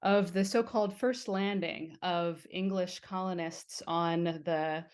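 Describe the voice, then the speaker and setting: Female interviewer, somewhat low pitch, calm and articulate, video-call delivery. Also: slow pace. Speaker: host and interviewer. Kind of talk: scripted-sounding introduction then conversation.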